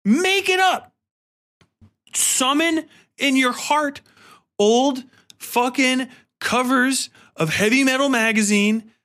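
Bright-voiced man, slangy rambling podcast host. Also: very high voice, takes it slowly, long pauses. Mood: exasperated. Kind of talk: casual solo film review and rant.

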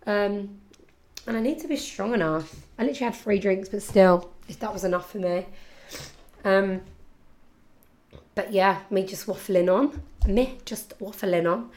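Husky, sniffly young woman. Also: mid-range voice, unhurried, long pauses, darkish tone. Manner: chatty, rambling, addresses listeners directly. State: congested with hay fever, sniffling. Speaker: podcast host. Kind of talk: casual chat.

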